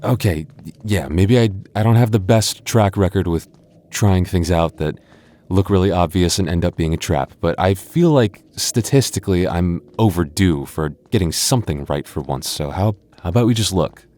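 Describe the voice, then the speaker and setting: A man's voice: low-pitched, animated, moderate pace, warm tone. A man voicing a playful, teasing young goth boyfriend in an ASMR roleplay, often stammering and chuckling. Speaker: voice actor.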